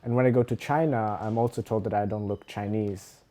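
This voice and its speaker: Hesitant young man, low mellow voice. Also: steady tone. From Italy. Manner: hesitant, many ums and pauses. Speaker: interviewee. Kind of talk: documentary interview.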